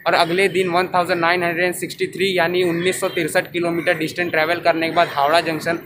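Young man vlogging over loud station noise. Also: a little high, completely flat delivery, fast. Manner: informative, mixing English phrases into Hindi. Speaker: travel vlogger. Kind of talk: casual train travel vlog narration. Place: railway station and train coach, partly voice-over.

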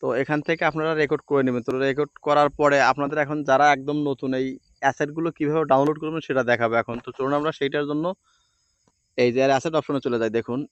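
A man walks listeners through an on-screen task in an informal way. He talks quickly and repeats his pointers as he goes. The recording is close and dry. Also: fairly high pitch, fairly even intonation, clean tone, no echo, highs cut off. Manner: repetitive, instructional phrasing. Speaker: video editing tutorial presenter. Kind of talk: informal step-by-step screen tutorial.

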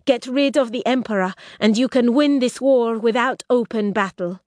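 A woman voicing a proud, demanding young princess in an audiobook reading, speaking high and forcefully. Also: normal speed, no room sound, studio quality, close to an everyday voice. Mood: insistent.

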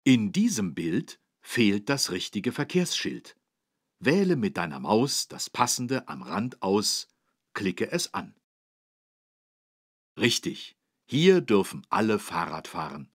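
A low, husky-voiced man as a friendly teacher in a children's learning game. His scripted narration is patient and encouraging, with very lively intonation. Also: slow pace, no room sound, clean studio sound, heavily acted. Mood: instructive. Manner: encouraging, clearly didactic. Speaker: instructor and narrator.

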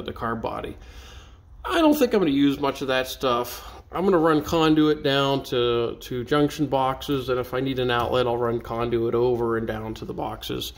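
A man giving a casual walkthrough of a renovation project, thinking aloud in a halting way as he films with a handheld camera. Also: mid-range voice. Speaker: railroad volunteer presenting a renovation project. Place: inside a metal railroad caboose. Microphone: handheld camera, held by speaker. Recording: clearly noisy, ambient sound inside railcar.

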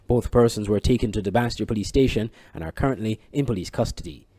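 A man's voice: lowish voice, fast talker, warm tone, slightly rough, no room sound. A man reading scripted news reports as a reporter.

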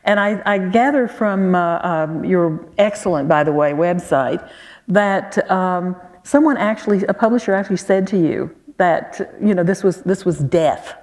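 Halting older woman interviewer, echoey hall. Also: fairly low pitch, a little mellow. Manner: halting, searching for words. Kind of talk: on-stage interview questions. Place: auditorium stage, indoors. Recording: echoey hall, stage event.

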